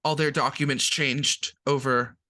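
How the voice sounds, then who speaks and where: A man's voice: medium pitch, steady tone, unhurried, pushed and bright, dry recording. A man co-hosting a podcast, explaining terms in casual chat with frequent ums and restarts.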